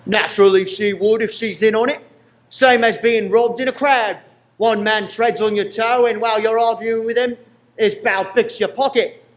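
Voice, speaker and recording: Man playing high-pitched, suspicious police inspector. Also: steady tone, normal speed, natural voice. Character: Talbot, shrewd, suspicious Scotland Yard inspector. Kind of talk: amateur radio play acting.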